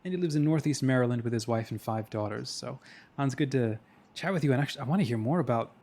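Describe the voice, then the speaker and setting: Man hosting, mid-pitched voice. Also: fast talker, darkish tone. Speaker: interview show host. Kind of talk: conversational hosting with prepared introduction.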